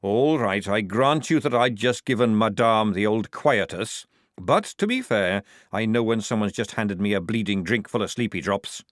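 A man's voice: fairly low pitch, animated, normal speed, dry recording, close to an everyday voice. Guy doing a big fat growly army sergeant for an audiobook, crafty and bossy, close dry sound. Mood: wry, unrepentant. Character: gruff, cunning fat army sergeant, sly and brash.

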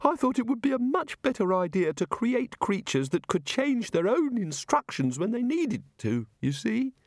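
A man doing a high, fretful, absent-minded god. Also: big pitch swings, normal speed, no echo, clean studio sound, exaggerated voice. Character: anxious absent-minded male god, fussy.

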